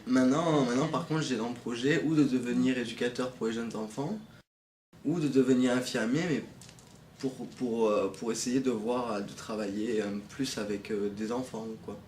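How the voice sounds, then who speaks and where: A man's voice: mid-range voice, steady tone, normal speed, darkish tone, reverberant, slight background noise. A young man, a former volunteer, telling his experience in a casual testimonial, hesitant with many restarts.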